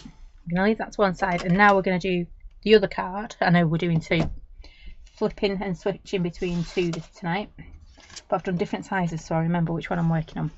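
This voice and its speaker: Chatty craft demonstrator, woman with a low, croaky voice, muffled lo-fi stream. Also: fairly even intonation, fairly quick. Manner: chatty, talks viewers through each step. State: slightly croaky voice. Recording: dull lo-fi stream with noise.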